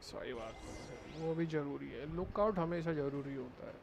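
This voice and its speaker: A man's voice: on the high side, very fast, creaky, noisy background. A man with an Indian accent giving casual live commentary as he streams a game.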